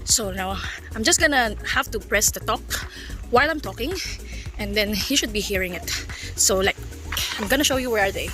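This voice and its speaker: A woman's voice: mid-range voice, expressive, normal speed, music in the background. A woman with a Filipino accent giving casual, cheerful vlog commentary outdoors. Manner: giggly, cheerful. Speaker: vlogger reviewing a product. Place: outdoors in a forest.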